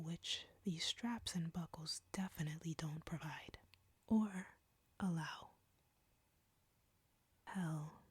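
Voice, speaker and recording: A woman performs an arrogant, flirtatious female vampire in an intimate audio roleplay. Her voice is a low, very breathy whisper, close and dry. She taunts and commands at an unhurried, domineering pace. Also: a little mellow, no room sound.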